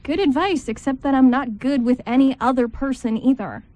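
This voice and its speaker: Radio play: awkward, anxious bridesmaid female actor, rambling nervously in a high voice. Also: normal speed, darkish tone, no room sound, close to an everyday voice. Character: awkward, anxious maid of honor, shy around men. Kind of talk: amateur radio play script reading.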